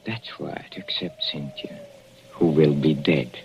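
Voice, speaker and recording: An actor playing a sadistic middle-aged professor with a Central European accent, mocking and chuckling with purring menace in a 1940s radio play. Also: male voice, extremely low pitch, slow, exaggerated voice. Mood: gloating. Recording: lo-fi vintage radio recording, faint hiss.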